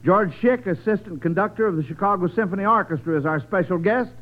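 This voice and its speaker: A narrow, tinny vintage radio recording carries an American man with a high-pitched voice. He hosts a children's quiz in an avuncular, chatty style, addressing the youngsters like a friendly uncle. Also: quick pace. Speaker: quiz show host.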